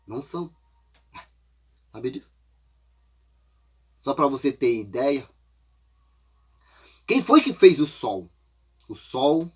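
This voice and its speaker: A man's voice: mid-range voice, a bit bright, husky. A man preaching an informal, folksy sermon while congested with a cold, often tagging his phrases with a questioning aside.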